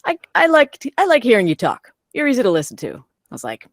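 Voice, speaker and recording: A woman's voice: a little high, huge pitch swings, quick pace, no echo, clean studio sound. A woman voice actor casually telling a personal story with a wry, self-amused manner. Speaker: voice actor, panel guest.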